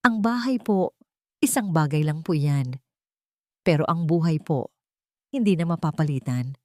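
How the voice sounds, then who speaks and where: A woman's voice: deep voice, lively intonation, moderate pace, darkish tone, dry recording. Female narrator as tearful, manipulative daughter-in-law in a story drama, broken voice, melodramatic pleading, dry sound. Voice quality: broken, sob-filled voice.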